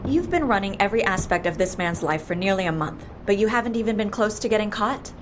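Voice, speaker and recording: A woman playing a brisk, persuasive and wry boss of a shady outfit in an audio drama. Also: mid-range voice, lively intonation, slight background noise, close to an everyday voice. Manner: persuasive, wry, matter-of-fact. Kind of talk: audio fiction drama acting.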